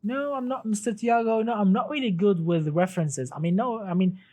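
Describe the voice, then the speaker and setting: A man's voice: sits high, brisk, warm tone. A man whose first language is Arabic, chatting casually on a live stream about cars and reading out viewer comments, with many restarts and a friendly "my friend.".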